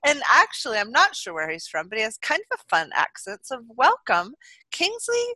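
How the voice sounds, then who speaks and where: A bright, upbeat woman hosts an interview show and keeps her guest talking with an eager, chatty manner. She leans on fillers like "like" and "you know." Her fairly high voice sits in a dry, close recording. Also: huge pitch swings, normal speed, no room sound. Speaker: podcast host.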